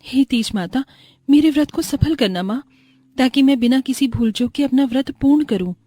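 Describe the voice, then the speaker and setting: A woman's voice: high-pitched, normal speed, dark tone, no echo, voice pushed up. Woman as a meek, devout poor young daughter-in-law. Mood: prayerful. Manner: meek, respectful, earnest. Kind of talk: cartoon moral story voice acting.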